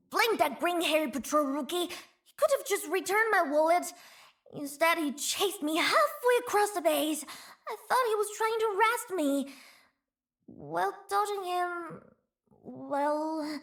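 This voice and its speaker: This is a cheeky, greedy young chief from a game. The voice sits very high and bright, with lively swings in pitch. She talks at an unhurried pace and keeps a teasing, bouncy manner. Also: full character voice. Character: playful, greedy young female chief, mischievous. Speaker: voice actor. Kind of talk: game character voice lines.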